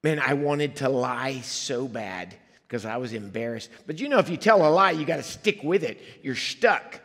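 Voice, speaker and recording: Male guest preacher raised in Liberia, middle pitch, earnest testimony, long church reverb. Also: expressive, normal speed, a bit bright. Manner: conversational, earnest storytelling with audience asides. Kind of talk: personal testimony in a sermon. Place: church sanctuary.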